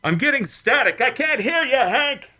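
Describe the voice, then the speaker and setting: A man acting in an old-time radio drama as a shaken, insistent rocket test pilot, speaking urgently and haltingly. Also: very high-pitched, lively intonation, bright, forceful tone, dry recording, full character voice. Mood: alarmed, entranced.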